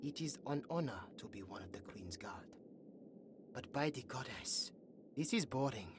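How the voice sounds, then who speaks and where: A woman playing a young, earnest and chatty elven guard in a fantasy audio roleplay, musing aloud in a soft, hesitant way. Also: extremely low pitch, expressive, normal speed, noisy background. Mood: bored, dutiful.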